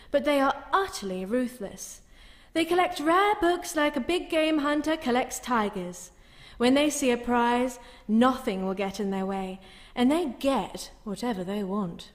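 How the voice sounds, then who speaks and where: A woman playing a bewitching horror hostess, narrating a live radio show in a grandiose, gushing, theatrical way. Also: a little high. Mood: ominous storytelling. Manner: grandiose, gushing, relishing the gruesome. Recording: live in echoey hall, long reverb.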